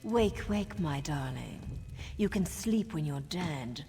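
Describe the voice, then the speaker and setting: A woman playing a sly, drily witty assassin in a video game, with a husky voice and a teasing, theatrical manner. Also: low voice, expressive, slow pace, mellow, soft background music, full character voice. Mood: teasing menace. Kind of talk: video game character dialogue.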